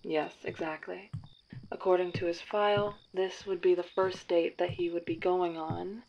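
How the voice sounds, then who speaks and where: Brisk agency matchmaker, clipped and formal, lowish voice, dull recording, voiced by a woman. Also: level tone, gravelly. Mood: briefing. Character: crisp, controlling woman dating agency matchmaker. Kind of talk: audio drama roleplay. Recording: dull lo-fi sound, faint hiss.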